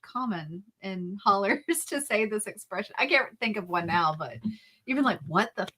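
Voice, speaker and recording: A woman with a Kentucky accent, co-hosting a casual live-stream chat in a relaxed, easygoing way. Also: mid-range voice, moderate pace. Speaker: co-host.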